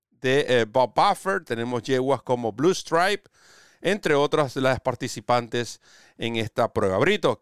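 A man's voice: medium pitch, a bit bright, clear, resonant tone, no echo, studio quality. A man hosting a horse racing show in Spanish, presenting and previewing races.

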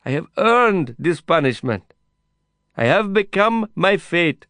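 A man voicing a proud, desperate male prisoner, pleading loudly and insistently through a fence. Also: high voice, lively intonation, moderate pace, clean tone, dry recording, studio quality, voice pushed up. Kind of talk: audiobook character voice.

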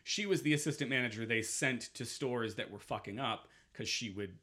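A man's voice: medium pitch, normal speed, pushed and bright. A man in his forties from Massachusetts, chatting casually on a podcast and telling rambling stories full of fillers and swearing. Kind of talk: casual chat.

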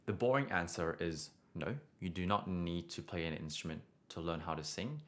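A man's voice: low-pitched, moderate pace. A young man teaching singing on a live stream, answering viewer questions casually and often adding "right?". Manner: casual, encouraging, frequent "right?" tags.

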